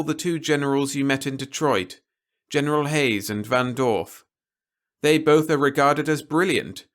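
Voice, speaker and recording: A man performs a stern, articulate official from an audiobook story. The voice is lifted well above a middle register, with deliberate, emphatic and formal delivery, like a lecture to someone stubborn. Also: on the high side, fairly bright tone, pitched above the performer's own voice, lightly acted. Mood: grave, measured. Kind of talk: audiobook character dialogue.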